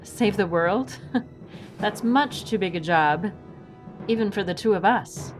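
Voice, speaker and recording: A woman's voice: medium pitch, slow, over music, lightly acted. Woman playing a composed, witty swashbuckling heroine. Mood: persuasive. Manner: polite, unruffled, wryly philosophical. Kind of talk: audio drama acting.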